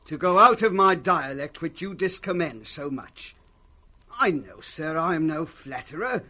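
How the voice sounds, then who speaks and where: An actor playing a blunt, quarrelsome old servant in 1960s British radio Shakespeare, scornful and declamatory. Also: male voice, fairly high pitch, lively intonation, a little slow, bright, forceful tone, full character voice. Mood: plain, defiant.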